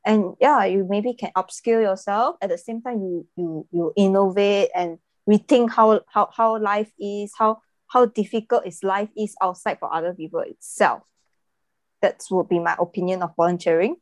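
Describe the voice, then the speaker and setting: A woman's voice: medium pitch, moderate pace, no room sound. A woman, a recent graduate chatting casually with fillers. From Malaysia. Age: 20s. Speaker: volunteer guest, recent graduate. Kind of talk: casual podcast discussion.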